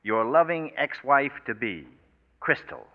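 A man's voice: medium pitch, lively intonation, unhurried. A male actor with an American accent playing a tough, cynical, wisecracking private detective, sardonic and clipped, in a 1940s radio noir. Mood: wry, narrating. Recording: tinny, reverberant 1940s radio recording.

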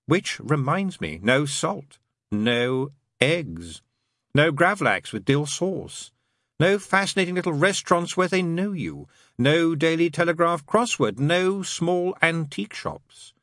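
A man's voice: fairly high pitch, sing-song, slow pace, a bit bright, no room sound, clean studio sound, pitched above the performer's own voice, exaggerated voice. A man voicing a glum, sardonic demon who needles and persuades in colloquial, emphatic lists, in an audiobook story. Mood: triumphant, taunting.

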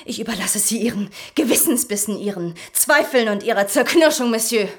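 A woman's voice: a little high, lively intonation, brisk. An actress in a German radio drama playing a scheming, seductive society woman with a haughty, theatrical manner. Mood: haughty, reproachful.

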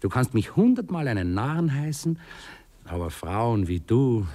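An actor with a Viennese accent playing a courtly, hesitant aristocratic cavalry officer who stammers politely and philosophises in a 1960s radio play. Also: male voice, medium pitch, big pitch swings, normal speed, warm tone. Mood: awkwardly persuasive. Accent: Viennese Austrian. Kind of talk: 1960s German-language radio drama acting.

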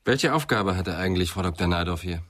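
An actor playing a calm, probing police inspector in a German radio crime drama, speaking in a measured, dry, thoughtful way. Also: male voice, low voice.

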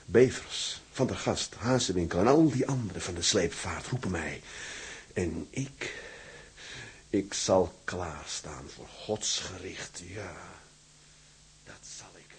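A man's voice: deep voice, takes it slowly. An actor playing an imprisoned seaman, a righteous and obsessive self-styled martyr, in a vehement, declamatory drama style. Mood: solemn, obsessive. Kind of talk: dramatized radio or audio drama acting.

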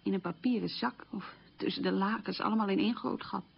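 Casting: middle-aged woman, very raspy, bitter survivor recalling hardship in radio drama, muffled old recording. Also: mid-range voice, steady tone, fairly quick. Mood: grave, bitter. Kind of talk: radio drama monologue, testimonial recollection.

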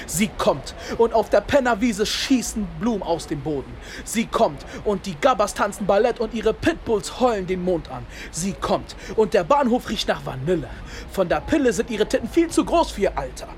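A man's voice: sits high, sing-song, normal speed, slight background noise. A man playing a street-smart narrator in a radio drama, telling a gritty story in rhythmic, staccato phrases. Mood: animated storytelling. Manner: rhythmic, staccato spoken-word delivery in short phrases. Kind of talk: scripted radio drama narration in second person. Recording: loud music bed, urban street sounds.

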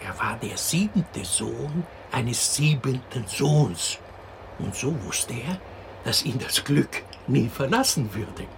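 An actor playing a wry, expressive fairy-tale storyteller in a radio drama. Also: male voice, medium pitch, takes it slowly, creaky, background noise. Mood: wry storytelling. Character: wry, unhurried male teller of an absurd fairy tale.

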